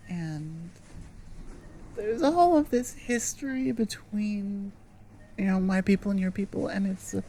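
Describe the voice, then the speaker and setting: Slow, hesitant goblin girl played by a woman. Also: medium pitch, a little mellow, a little hiss or hum, poor audio. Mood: flustered, shy. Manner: hesitant, rambling, earnest, halting. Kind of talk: ASMR roleplay audio drama.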